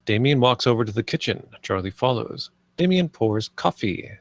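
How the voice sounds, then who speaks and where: A man's voice: medium pitch, animated, normal speed, no echo, studio quality. A man reading stage directions as the narrator of a film script read. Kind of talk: scripted narration, present tense.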